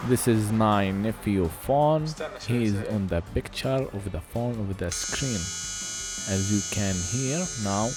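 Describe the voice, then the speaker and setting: A man giving an informal voice-over for a phone repair, speaking halting, non-native English. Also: fairly low pitch, over music. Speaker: phone repair video host.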